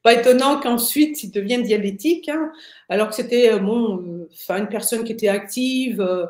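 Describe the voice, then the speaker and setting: A woman of sixty-six gives a personal account in conversation, often halting to find her words. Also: fairly high pitch, darkish tone. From Paris, France. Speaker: interview guest, retiree. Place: indoors, plain room on video call. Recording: roomy, echoing video call.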